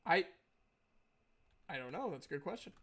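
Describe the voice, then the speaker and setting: A man's voice: a little high, very animated, normal speed, sharp tone. A man narrating and refereeing a tabletop fantasy game as game master in an informal, casually sweary way.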